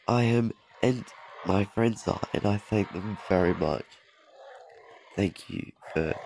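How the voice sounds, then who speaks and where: A man's voice: low voice, gravelly, a little hiss or hum. A young man casually commenting on a mobile game he plays, rambling and correcting himself, with his voice a little off from being sick. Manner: rambling, self-correcting, chuckles often. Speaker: mobile game channel host. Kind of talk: gameplay commentary over a screen recording.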